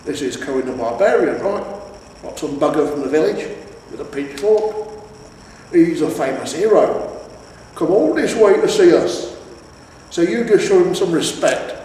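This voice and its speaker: A man's voice: a little high, lively intonation, moderate pace, long pauses, mellow, pitched above the performer's own voice, lightly acted. A man voicing a proud, eager troll, a henpecked family father who talks in earnest, homely boasts, in a storybook reading. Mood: stern pride. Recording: echoey reverberant room, distant mic, faint noise.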